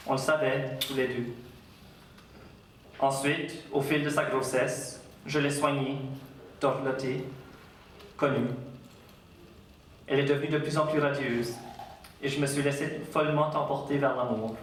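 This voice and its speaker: An Acadian man, an author, lecturing and reading his own work aloud in a measured, unhurried voice. His voice sits mid-range. It is picked up from a distance in a big, heavily echoing hall with a faint hum in the background. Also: mid-range voice, steady tone. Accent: Acadian French. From Acadia, Canada. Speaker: author giving a public talk. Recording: very echoey hall, distant mic.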